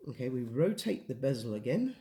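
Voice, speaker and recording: A man's voice: mid-range voice, big pitch swings, a little fast, mellow. Man, teaching basics. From England. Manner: patient, plain step-by-step explaining. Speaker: hiking guide explaining navigation. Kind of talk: casual instructional explanation.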